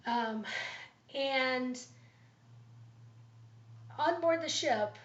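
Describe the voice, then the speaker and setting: A woman student giving a class presentation, thoughtful and pausing often with ums, her voice slightly raspy from allergies. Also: high voice. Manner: thoughtful, with frequent ums and pauses. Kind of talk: class presentation, leadership analysis. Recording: roomy, dull lo-fi recording.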